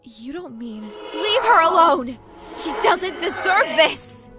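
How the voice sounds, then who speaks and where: A woman playing an earnest young demon pony girl with a high voice, anxious and easily rattled. Dramatic music runs clearly behind her in this audio drama. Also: sing-song, slow, full character voice. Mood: pleading. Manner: anxious and earnest, often bursting out.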